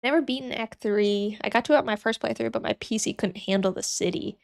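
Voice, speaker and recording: A woman's voice: a little high, quick pace, gravelly. A young woman streamer who is sick and sniffling, chatting casually with her chat and thinking aloud as she works.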